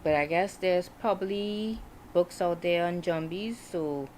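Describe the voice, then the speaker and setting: A woman in her forties talking casually on a livestream, reflective and hesitant, with many pauses and fillers. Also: fairly low pitch, a little mellow, a little hiss or hum. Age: 40s. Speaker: writer hosting a livestream.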